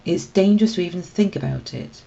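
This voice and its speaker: A woman's voice: fairly low pitch, expressive, fairly quick, creaky, mellow, highs cut off. A woman voicing a nervous, easily wounded female cat in a children's storybook, with a dramatic, anxious delivery. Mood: fearful, dramatic. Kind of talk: children's storybook read-aloud.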